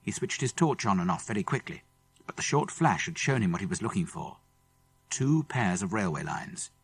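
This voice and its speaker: Male British audiobook narrator, children's adventure; husky tone, very animated, expressive delivery. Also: on the low side, normal speed. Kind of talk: scripted children's story narration.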